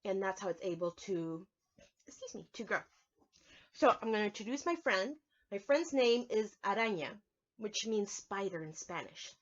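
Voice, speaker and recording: A woman's voice: medium pitch, expressive, normal speed, dull audio. A woman preschool teacher giving a lesson to young children, explaining things simply and step by step.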